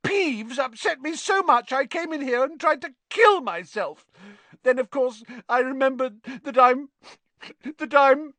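A man's voice: very high voice, racing, few pauses, fairly bright tone, no room sound, voice pushed up. A man voicing a weepy, melodramatic ghost girl in an audiobook, easily offended and wailing in a tearful, self-pitying way. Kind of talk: audiobook character acting.

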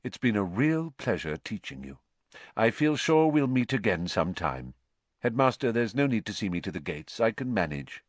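A man's voice: on the low side, big pitch swings, moderate pace, no echo. A man voicing a kindly, mild-mannered male schoolteacher in an audiobook, courteous and understated. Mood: warm farewell. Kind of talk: audiobook character voice.

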